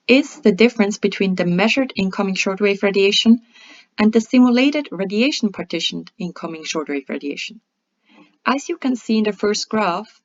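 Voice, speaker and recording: Woman narrating a tutorial, dull lo-fi recording. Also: medium pitch. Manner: methodical, step-by-step instructional delivery. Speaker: tutorial presenter. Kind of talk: scripted software tutorial narration. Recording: dull lo-fi voice-over.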